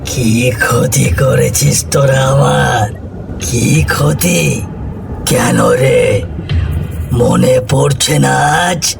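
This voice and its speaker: Man voicing a slow menacing demon over loud music. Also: mid-range voice, creaky, exaggerated voice. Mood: accusing, vengeful. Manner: slow, drawn-out menacing taunts. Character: vengeful horned red male demon, menacing and mocking.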